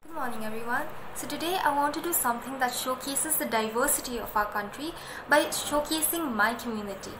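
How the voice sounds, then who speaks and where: A woman's voice: sits high, brisk, music in the background. A young woman from Darjeeling, a native Nepali speaker, earnestly explaining her community in a small room, filmed on a phone. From Darjeeling, India. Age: young adult. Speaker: student presenter. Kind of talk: casual informative talk. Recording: echoey room with faint hiss.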